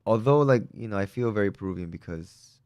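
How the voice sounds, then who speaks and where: Deep-voiced upbeat male musician guest. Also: brisk, dry recording. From Peru. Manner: relaxed, upbeat, lots of fillers. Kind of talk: casual interview chat.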